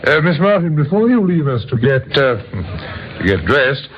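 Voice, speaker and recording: An actor playing a methodical, dryly wry police inspector in an old radio crime drama, hesitant and musing with hums and pauses. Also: male voice, mid-range voice, sing-song. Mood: probing, polite. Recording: dull, noisy old radio recording.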